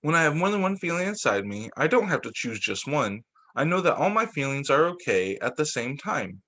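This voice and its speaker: A man reading storybooks aloud as a teacher for young children, in an expressive way with the occasional stumble and re-read phrase. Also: fairly high pitch, a little fast.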